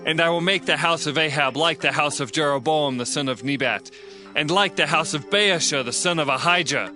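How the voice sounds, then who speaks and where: An actor playing an earnest young prophet, solemn and declamatory, in a dramatized scripture reading. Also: male voice, on the high side, fairly quick, pushed and bright, faint music behind. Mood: solemn, fervent. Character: earnest young male prophet proclaiming divine judgment.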